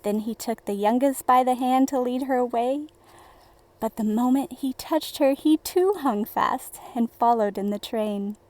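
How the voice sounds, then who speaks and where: A woman narrates a children's fairy tale in a fairly high, mellow voice. She takes her time, shaping each phrase with deliberate storybook expression. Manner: slow, deliberate, theatrical storybook delivery.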